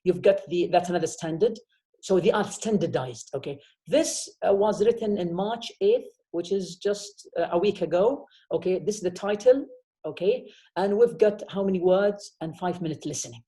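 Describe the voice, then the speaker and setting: Male professor, mellow tone. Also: sits high, normal speed. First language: Arabic. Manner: explanatory, frequent "okay?" checks. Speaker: university professor. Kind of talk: online lecture. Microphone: video call.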